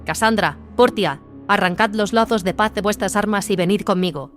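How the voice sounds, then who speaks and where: Mujer interpretando a una guerrera comandante, severa y autoritaria. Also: female voice, mid-range voice, normal speed, a bit bright, natural voice. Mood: commanding. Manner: commanding, clipped, authoritative. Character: Mirilla, stern commanding battle-sister squad leader. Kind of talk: audio drama acting. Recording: dramatic music behind the voice.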